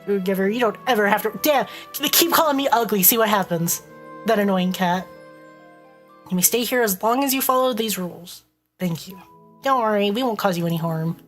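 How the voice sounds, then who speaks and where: Woman streamer, stuffed-up nasal voice, mid-pitched, playful running commentary over a story game, faint music underneath. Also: fairly quick. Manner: playful, reads dialogue aloud and breaks off to joke. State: stuffy, congested voice. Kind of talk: reading a visual novel aloud with live chat.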